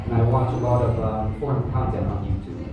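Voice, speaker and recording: A man's voice: medium pitch, fairly even intonation, brisk. A teenage boy, answering contest questions candidly with many "you know" fillers. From Vietnam. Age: teens. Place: large echoey school hall. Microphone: far from the microphone. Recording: tinny, echoey hall from far off, hiss.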